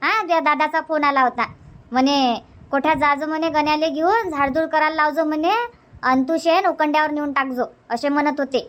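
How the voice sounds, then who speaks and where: Woman doing an older village grandma in a cartoon, high voice, repeats herself, varhadi marathi accent. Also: steady tone, a little fast, lightly acted. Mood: bossy, indulgent. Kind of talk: cartoon comedy dubbing.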